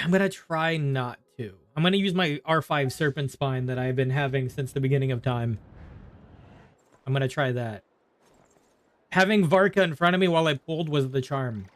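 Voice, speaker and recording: A man's voice: medium pitch, no echo. A man chatting casually on a game livestream, rambling and thinking aloud as he plays. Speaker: game streamer. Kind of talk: casual livestream chat while gaming.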